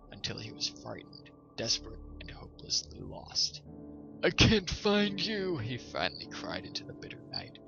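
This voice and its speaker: Gravelly, animated male storytime host. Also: lowish voice. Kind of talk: scripted ghost story narration. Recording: slightly dull sound, music behind. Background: background music.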